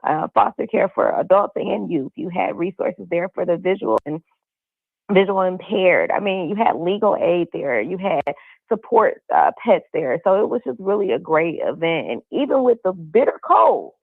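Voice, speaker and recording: A hoarse woman, a police community liaison, speaking earnestly and upbeat with many fillers over the phone. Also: on the low side, very animated, moderate pace, no echo. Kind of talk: phone-in interview. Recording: tinny phone line.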